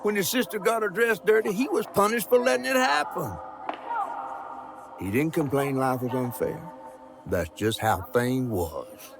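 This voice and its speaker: An actor playing an old, folksy, wry Southern storyteller in a video game, drawling like someone telling a folk tale. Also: male voice, fairly high pitch, lively intonation, a little slow, gravelly. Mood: storytelling. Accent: American South. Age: older. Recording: music under the voice. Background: game music.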